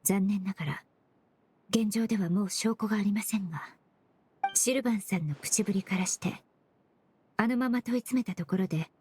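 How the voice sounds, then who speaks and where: A woman voicing a composed, courteous young forensic cleaner in an anime game dub, speaking formally and analytically in measured phrases. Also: medium pitch, darkish tone, a little hiss or hum, close to an everyday voice. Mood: calm, analytical. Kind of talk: Japanese anime game dubbing.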